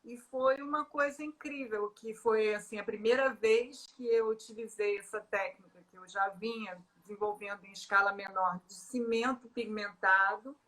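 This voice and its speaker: A middle-aged woman, an artist in a conversational interview, talking with many pauses, fillers and restarts. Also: medium pitch, fairly bright tone, low-quality recording.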